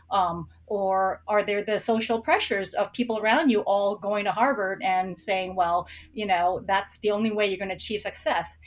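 Reflective middle-aged woman, interview guest. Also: mid-range voice. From born in San Francisco, raised in Hong Kong. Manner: reflective, with frequent restarts and fillers. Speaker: podcast guest. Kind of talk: casual interview talk.

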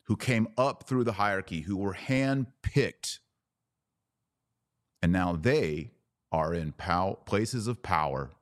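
Deep voiced guy doing podcast news commentary, indignant and punchy, really dry sound. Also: dry recording. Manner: indignant, emphatic, repeating short punchy phrases. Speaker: podcast host.

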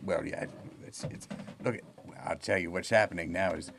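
A man's voice: low voice, fairly even intonation, normal speed, fairly bright tone, a little hiss or hum. A man hosting a chatty, comic podcast interview in a folksy, rambling way, often repeating words as he feels for the next one. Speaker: podcast host.

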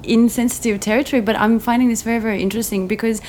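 A woman's voice: on the high side, steady tone, rapid-fire, a little mellow, slight background noise. A woman from Croatia in a casual conversation, speaking hesitantly with many fillers and restarts.

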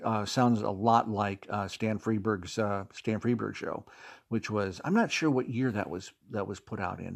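American guy, middle aged, interview guest telling stories in a chatty way, leans on his words. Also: fairly low pitch. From Fort Wayne, Indiana, United States. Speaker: interview guest, audio drama creator and stage actor. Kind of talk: conversational interview, telling anecdotes.

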